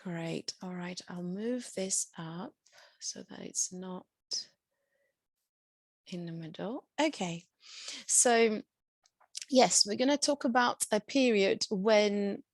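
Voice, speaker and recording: A woman whose native language is Greek, a university lecturer giving a measured, explanatory presentation over a video call. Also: mid-range voice, no echo. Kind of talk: online presentation.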